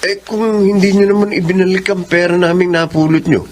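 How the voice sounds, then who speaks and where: An actor voices an easygoing young man in a classic radio play, playful and polite, in a high voice for a man. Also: fairly even intonation, brisk, a little hiss or hum, close to an everyday voice. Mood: upbeat. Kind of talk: classic radio drama acting.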